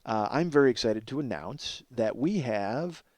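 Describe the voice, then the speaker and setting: A man's voice: medium pitch, lively intonation, unhurried, a little mellow, dry recording, studio quality. Rambling, profane man hosting a comedy podcast. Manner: rambling, self-interrupting, profane; self-mocking. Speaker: podcast host. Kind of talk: casual comedic monologue.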